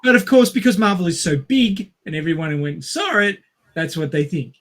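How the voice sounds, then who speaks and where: A man co-hosting a casual film discussion, making his points emphatically in halting, restarted phrases. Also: high voice, sing-song, fairly bright tone. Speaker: podcast host.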